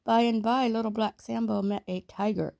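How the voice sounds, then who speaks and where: A woman reading a children's story aloud in a measured, expressive storytelling manner. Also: a little high, vocal fry, no room sound, studio quality. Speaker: storybook reader.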